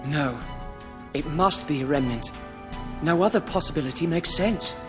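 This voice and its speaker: A man's voice: on the high side, moderate pace, natural voice. A man acting a resolute, authoritative young lord in a video game, giving formal, decisive orders. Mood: firm, commanding. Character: resolute young male lord commanding his retainers. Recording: long hall reverb under music.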